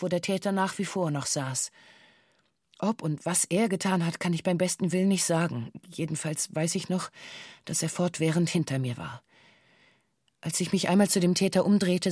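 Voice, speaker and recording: Low-key formal witness, played by a woman, dry studio. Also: no echo, natural voice. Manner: formal, deliberate statement-like delivery. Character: former hostage giving sober witness testimony of a bank siege. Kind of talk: scripted documentary radio drama monologue.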